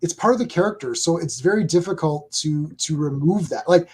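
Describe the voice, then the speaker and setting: Husky high-voiced man, emphatic host. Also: lively intonation, a little fast, a little mellow. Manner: emphatic, opinionated. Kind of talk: casual podcast chat.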